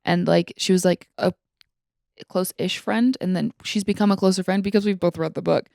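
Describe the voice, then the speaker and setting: A young woman co-hosts a podcast in a fairly low voice with plenty of vocal fry. She talks casually and bluntly, leaning on filler words. The sound is dry and close, with very little room. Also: steady tone, normal speed, darkish tone, dry recording. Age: young adult. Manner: informal, peppered with like and mild swearing. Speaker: podcast co-host. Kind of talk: casual opinionated chat.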